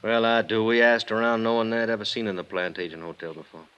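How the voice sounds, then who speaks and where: An actor playing a blunt, weary but decent police lieutenant in a 1950s radio crime drama, gruff and plain-spoken. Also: male voice, fairly low pitch, level tone, quick pace, fairly bright tone, muffled recording, natural voice. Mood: weary businesslike. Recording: vintage radio drama broadcast.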